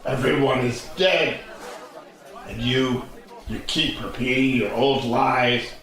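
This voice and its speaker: A man's voice: mid-range voice, takes it slowly, fairly bright tone. An older actor playing a bitter, grieving grandfather who heckles a politician, accusing and declamatory, in a very echoey audio drama. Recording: very echoey, reverberant space.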